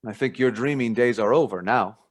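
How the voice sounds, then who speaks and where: A man's voice: medium pitch, fairly quick, natural voice. An actor playing a curious, polite, slightly flustered young man in a radio play. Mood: quiet.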